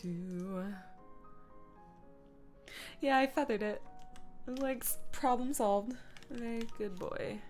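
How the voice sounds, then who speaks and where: A woman's voice: fairly high pitch, takes it slowly, long pauses, a little mellow, faint music behind. A woman streamer chatting casually while she works at a computer, talking through small tasks in short asides. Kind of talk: casual livestream chat while editing images.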